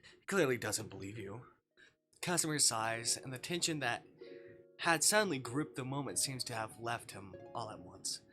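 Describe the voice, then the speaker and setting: A man with a bright, forward voice and a middling pitch reads a visual novel aloud on stream. He narrates like a storyteller and drops in casual asides. Faint music plays behind him. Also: expressive, moderate pace.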